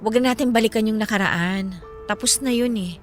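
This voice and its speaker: A woman's voice: fairly high pitch, brisk, soft background music, close to an everyday voice. A woman playing a guarded, earnest ex-girlfriend in a radio drama, firm and matter-of-fact, with a Filipino accent.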